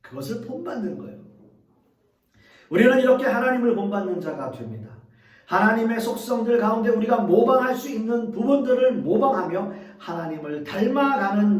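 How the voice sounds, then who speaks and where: A man's voice: sits high, roomy sound, recorded from a distance. A man, a pastor, preaching a sermon and earnestly addressing the congregation.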